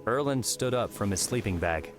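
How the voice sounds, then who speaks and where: A male narrator reads a story in a fairly low voice. His scripted audiobook delivery sits over clear background music. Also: expressive, moderate pace. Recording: music behind the voice.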